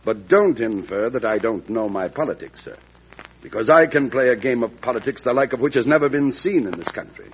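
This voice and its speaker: An actor playing a proud, hot-headed, ambitious vice president in old-time radio drama, with formal, clipped, cutting politeness. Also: male voice, medium pitch, lively intonation, fairly quick, slightly rough. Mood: defiant, warning. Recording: dull, noisy vintage radio recording.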